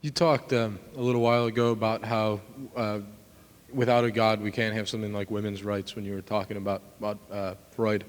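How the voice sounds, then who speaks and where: A man with a fairly low voice asks a spontaneous question from the audience. His delivery is halting, and the hall adds a long reverb. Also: little pitch movement, slight background noise.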